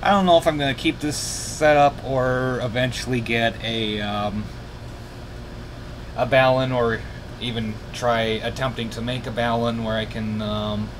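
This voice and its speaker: A man's voice: medium pitch, unhurried. A tired man giving a casual, hesitant, rambling hands-on demonstration as a radio hobbyist, thinking aloud in a small room. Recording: noisy room, steady background hiss.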